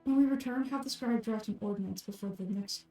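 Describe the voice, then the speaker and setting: A woman playing a pompous, haughty scholar-official, mellow-toned. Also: mid-range voice, brisk, soft background music, lightly acted, poor audio. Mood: contemptuous. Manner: formal, pompous, clipped. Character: stern, haughty official scholar, contemptuous and threatening.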